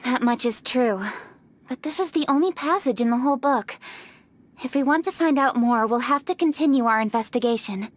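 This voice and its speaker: A woman's voice: high voice, a little hiss or hum, lightly acted. A woman voicing a composed, decisive young official who speaks formally in a game cutscene. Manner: formal, measured. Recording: game cutscene with faint music.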